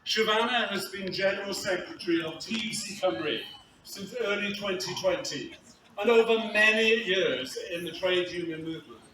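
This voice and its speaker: A man acting as event organiser, giving a measured, formal introduction of a guest speaker. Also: sits high. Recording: echoey distant capture with background noise.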